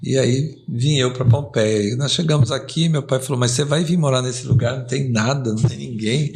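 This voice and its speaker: A man's voice: medium pitch, brisk, gravelly. Chatty older man from São Paulo telling stories. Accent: Brazilian Portuguese, São Paulo. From São Paulo, Brazil. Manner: relaxed, chatty, many fillers and asides. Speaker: guest entrepreneur. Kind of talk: casual storytelling interview.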